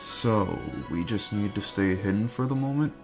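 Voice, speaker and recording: A man acting an earnest, reserved, homesick young operative in a dramatic reading, with measured, formal phrasing. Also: lowish voice, close to an everyday voice. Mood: awkward, reserved. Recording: loud background music. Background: loud music.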